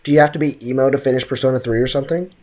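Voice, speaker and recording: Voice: mid-range voice, steady tone, very fast, darkish tone. A game streamer chatting casually with viewers in a slangy, joking, mock-boastful way. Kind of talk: casual gaming livestream commentary, chatting with viewers.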